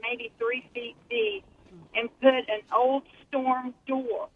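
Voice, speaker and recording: A woman's voice: a little high, level tone, takes it slowly. Texan lady calling in while driving, chatty rambling story, muffled phone, a little hiss. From Texas Panhandle. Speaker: caller. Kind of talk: casual call-in chat. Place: driving in a car.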